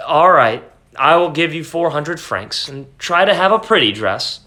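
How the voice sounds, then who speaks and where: A timid young clerk husband, jumpy and stammering, whose voice leaps high when he gets flustered, as a man plays him. His tone is bright and eager to please. It belongs to a dramatic storybook reading. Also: expressive, measured pace. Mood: coaxing.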